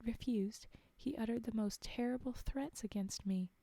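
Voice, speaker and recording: A woman's voice: on the high side, normal speed, warm tone, no echo. A woman reading aloud the part of a haughty, demanding young princess in a fairy tale, in formal storybook phrasing. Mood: imperious, aggrieved.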